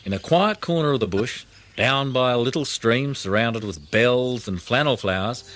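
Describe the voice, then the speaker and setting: A man reading a children's audiobook in an expressive storytelling style, with plucked guitar music behind him. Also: mid-range voice, a little hiss or hum. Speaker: audiobook narrator.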